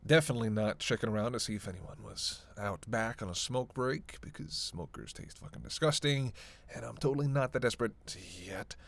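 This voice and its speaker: A man playing a snarky, self-serving male vampire who teases the listener in a casual, sarcastic roleplay audio drama, with a creaky voice. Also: medium pitch, fairly quick, close to an everyday voice. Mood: wry explaining. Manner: casual, sarcastic, teasing, cursing.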